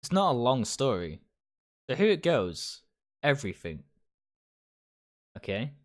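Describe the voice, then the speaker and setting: A man reading first-person game narration aloud dramatically, in short, deliberate phrases. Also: mid-range voice, sing-song. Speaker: game streamer reading a visual novel aloud. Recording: music behind the voice.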